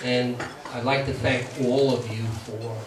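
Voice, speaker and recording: A man's voice: mid-range voice, little pitch movement, unhurried, a loud crowd, very noisy. A man from Brooklyn with a New York accent, giving a plainspoken speech as guest speaker at a meeting and reading scripture aloud. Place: meeting hall. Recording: echoey hall from far off. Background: audience murmur.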